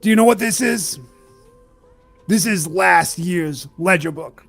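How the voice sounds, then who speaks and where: A man's voice: high voice, unhurried, gravelly, fairly bright tone, faint music behind, heavily acted. A man playing a jaded, sarcastic registrar at a desk in improvised tabletop roleplay, with a world-weary, condescending drawl. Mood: morbid, exasperated.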